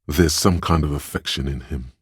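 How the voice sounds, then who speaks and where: A man voicing the inner voice of empathy in a detective's mind, narrating a game in a measured, intimate, knowing way with a gravelly, husky voice. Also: very deep voice, no room sound, studio quality, full character voice. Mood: gentle, perceptive. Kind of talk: scripted second-person game narration.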